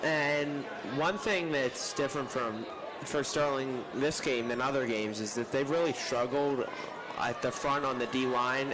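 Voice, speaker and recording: Measured football analyst, noisy feed. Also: male voice, medium pitch. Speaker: color commentator. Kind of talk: live high school football analysis. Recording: noisy live stream audio.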